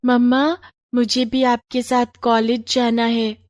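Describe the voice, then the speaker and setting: A woman's voice: fairly high pitch, flat delivery, slow pace, a little mellow, dry recording, clean studio sound, voice pushed up. A woman voicing a stubborn, pleading little toddler girl in a cartoon story. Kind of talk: animated moral story dialogue.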